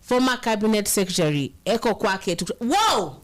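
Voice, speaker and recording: A Kenyan woman co-hosting a casual live chat, speaking emphatically and quickly in mixed English and Swahili. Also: mid-range voice, sing-song, heavy background noise. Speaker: co-host reading viewer comments.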